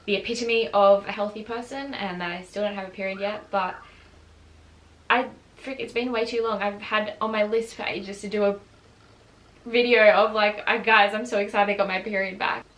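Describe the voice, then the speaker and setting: A young woman speaking candidly to camera in a personal vlog, earnest and confessional, often pausing and restarting her sentences. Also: mid-range voice, steady tone, a bit bright, rough recording. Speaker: vlogger and runner.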